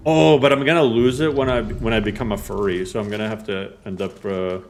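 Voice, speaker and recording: A man's voice: fairly low pitch, steady tone, fairly quick. A man giving casual, joking gameplay commentary, rambling and self-deprecating. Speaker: game streamer.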